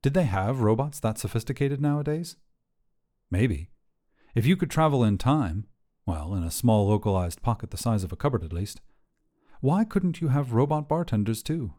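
A man narrates a short story in a mellow, soft-edged voice of medium pitch. His reading is scripted and expressive, with lively rises and falls in intonation. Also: normal speed.

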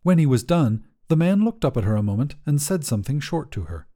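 Male narrator for short fiction, soft mellow tone, slightly low voice, lively intonation. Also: brisk. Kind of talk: scripted short story narration.

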